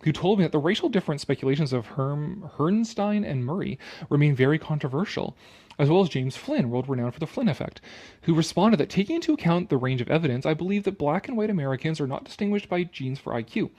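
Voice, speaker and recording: Guy with a kinda high voice reading an article out dramatically and commenting on it, snarky, blunt, sweary. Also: big pitch swings, quick pace, warm tone, lo-fi sound. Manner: sarcastic, dismissive. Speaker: online commentator.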